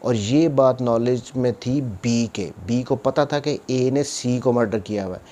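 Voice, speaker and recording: Man, Pakistani accent, mid-pitched, dark relaxed timbre, law lecturer, explanatory delivery, English terms mixed in. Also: a little slow. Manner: explains step by step with questions he answers himself.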